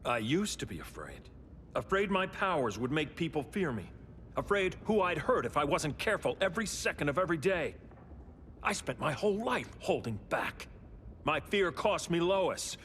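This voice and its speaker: An actor playing an embittered, grieving superhuman turned tyrant in a video game, with stern, accusatory dramatic delivery. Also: male voice, on the high side, sing-song, normal speed, a little hiss or hum. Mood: anguished, defiant. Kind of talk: cinematic video game acting. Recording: loud orchestral music behind the voice.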